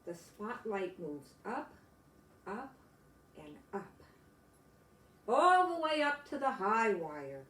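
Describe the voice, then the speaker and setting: Animated older grandmother, echoey room. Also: medium pitch, slow, long pauses, a little hiss or hum. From United States. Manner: animated, expressive, exclaiming to young listeners. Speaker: grandmother reading picture books aloud. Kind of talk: children's storybook read-aloud with chatty asides.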